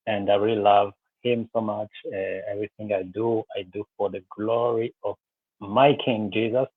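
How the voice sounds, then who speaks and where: A man's voice: fairly low pitch, no echo. Earnest guest in his thirties from Rwanda, man, dry thin phone-like sound, restarts a lot. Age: 30s. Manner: earnest, many fillers and restarts, speaks of faith. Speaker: guest, business coach. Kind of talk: live interview, personal story. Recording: tinny phone-like line, dry.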